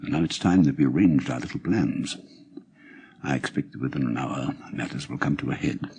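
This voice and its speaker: Calm British sleuth, played by a male audiobook reader: low, quiet, mellow, commanding and deliberate. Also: husky, heavily acted. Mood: commanding, hushed.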